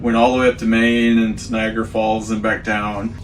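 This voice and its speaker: A man's voice: lowish voice, little pitch movement, a little fast. A man from Oklahoma, a travel advisor, chatting casually in an easygoing, rambling way about his family's travels. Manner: easygoing, rambling, self-described shy on camera. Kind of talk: casual chat. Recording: distant mic with music behind.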